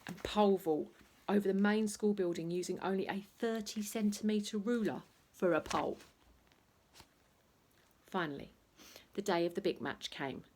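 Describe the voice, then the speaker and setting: A woman reading a children's bedtime story aloud in an expressive, playful way, acting out noises as she goes. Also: fairly low pitch, a little hiss or hum. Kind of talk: animated bedtime story reading with sound effects and asides.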